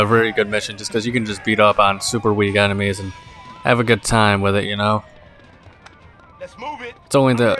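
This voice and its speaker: A young man giving casual gameplay commentary, tired and rambling in a monotone voice. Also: fairly low pitch. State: exhausted. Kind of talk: casual let's play commentary. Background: game music.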